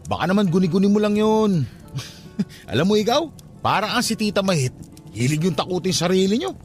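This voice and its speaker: A man's voice: sits high, big pitch swings, fairly quick, faint music behind. An actor playing a brash young man who teases and mocks his cousin in a radio drama. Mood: mocking. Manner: teasing, brash, mocking. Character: cocky, skeptical young funeral-parlor worker teasing his cousin. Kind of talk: radio drama dialogue, casual banter.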